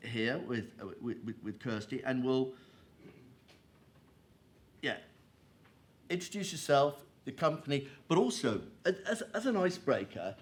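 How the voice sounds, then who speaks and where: A man moderates a live panel discussion. He talks at an easy middle pitch, and he rambles through fillers and restarts before he gets to each point. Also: sing-song, measured pace, long pauses. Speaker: panel chair. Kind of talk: hesitant conference moderation. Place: conference panel.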